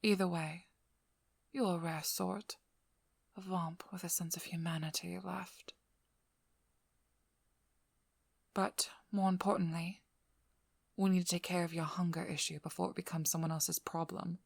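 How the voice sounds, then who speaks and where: A woman playing a stern, wry, protective vampire hunter in an intimate ASMR role-play, speaking in a measured, firm voice that often drops to a whisper. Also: on the low side, steady tone, vocal fry, breathy edge, no echo. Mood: earnest, wry. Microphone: close microphone.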